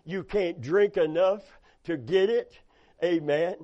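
A hoarse man preaching a sermon, telling folksy stories and calling out amen and hallelujah. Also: sits high, slow pace, mellow. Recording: dull lo-fi sound.

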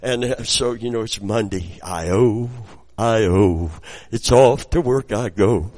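Raspy preacher with a lively delivery. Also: male voice, lowish voice, slow. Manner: swings between quiet storytelling and loud exclamations. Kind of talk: sermon with personal anecdotes.

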